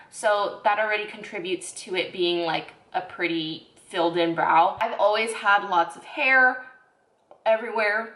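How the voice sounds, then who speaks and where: A woman's voice: mid-range voice, a bit bright. A young woman casually reviewing beauty products, chatty and self-correcting, with lots of "like"s. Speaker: beauty vlogger. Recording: echoey room.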